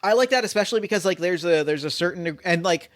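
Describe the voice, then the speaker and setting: A man as game master of a solo tabletop mystery, talking in a rambling, animated way full of fillers and restarts. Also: sits high, fast, no room sound.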